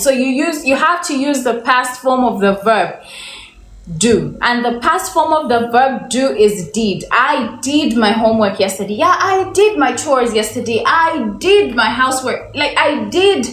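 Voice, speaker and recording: Slow-speaking woman teaching English, echoey room. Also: a little high, animated, fairly bright tone. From Uganda. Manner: slow, drawn-out example sentences, repeated questions to viewers. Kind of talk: livestream English lesson. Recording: echoey room, faint background noise.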